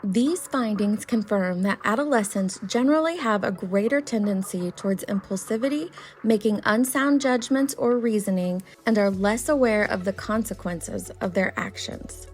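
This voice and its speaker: A woman's voice: medium pitch, moderate pace, a little mellow, a little hiss or hum. A woman hosting a commentary channel, reading a scripted voice-over in an earnest, critical way. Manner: reads from notes, critical and earnest.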